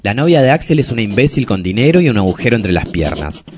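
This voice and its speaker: Deep-voiced, lovelorn Argentine diarist. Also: male voice, big pitch swings, quick pace, a little mellow, a little hiss or hum. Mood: yearning, bitter. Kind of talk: literary monologue in a radio play.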